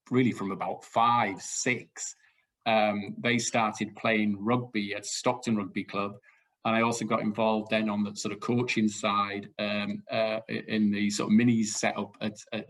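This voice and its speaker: A man, chatting as a guest in an interview, hesitant with many fillers and restarts. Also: lowish voice. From South Wales. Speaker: retiring assistant director, guest. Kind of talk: casual interview chat.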